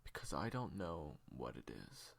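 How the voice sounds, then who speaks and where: A man's voice: a bass voice, big pitch swings, measured pace, vocal fry, exaggerated voice. A man playing a weary, forgiving young man in an audio drama, speaking in terse, casual, reassuring phrases. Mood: weary, subdued. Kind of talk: audio roleplay drama acting.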